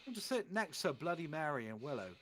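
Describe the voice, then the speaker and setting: A man's voice: on the high side, big pitch swings, fast. A man doing a theatrical, comedic reading of amateur fan fiction, putting on voices for the quotes.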